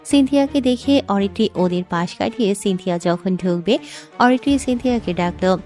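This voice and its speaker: A woman narrates a scripted audio story in a middle-pitched voice. Music plays softly behind her narration. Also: normal speed.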